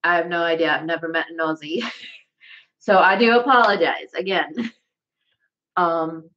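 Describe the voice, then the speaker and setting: A woman with a Southern US accent speaks in a slightly low voice, rambling through a casual livestream. She piles on fillers and restarts as she goes. The recording is dull and lo-fi. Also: fairly even intonation, moderate pace, a bit bright. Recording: dull lo-fi sound.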